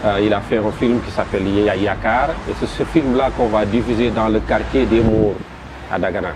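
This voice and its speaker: A man with a Senegalese French accent, a festival promoter describing his event in an interview, halting and repeating words as he goes. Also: lowish voice, steady tone. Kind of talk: interview answers. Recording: noisy background.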